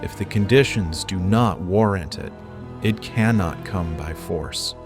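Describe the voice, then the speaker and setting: A man playing a solemn, resolute mystical warrior in an audio drama, speaking in a grave, measured, philosophical way. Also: deep voice, loud music behind the voice.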